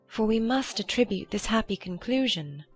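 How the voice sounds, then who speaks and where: A woman voicing a gentle, sensible young gentlewoman in an audiobook, speaking in a measured, earnest manner. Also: fairly high pitch, expressive, breathy edge, faint music behind. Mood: earnest, calming. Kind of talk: dramatized audiobook character voice.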